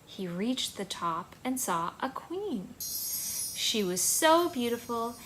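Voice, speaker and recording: A woman in her thirties hosting a children's storytime, speaking in an animated, encouraging way to young listeners in a small home room. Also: on the high side, slow pace, over loud music, poor audio. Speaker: children's library storytime host. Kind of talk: children's storytime with action rhymes and reading.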